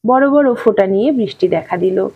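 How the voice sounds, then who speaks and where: A woman's voice: mid-range voice, expressive, fairly quick, breathy edge, muffled recording. A woman narrator reading a literary short story expressively from a script.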